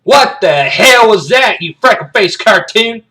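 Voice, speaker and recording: A man voicing a furious, hardcore Marine drill officer who barks drawn-out orders and mocking insults at young cadets. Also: very high-pitched, animated, sharp tone, pitched above the performer's own voice, full character voice. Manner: barking, drawn-out commands full of mocking insults.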